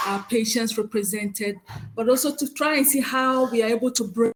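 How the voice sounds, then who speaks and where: A woman with a Ghanaian accent chairs a conference session and reads out a speaker's biography, with frequent hesitant pauses. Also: on the high side, low-quality recording. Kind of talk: conference moderation, reading a speaker bio.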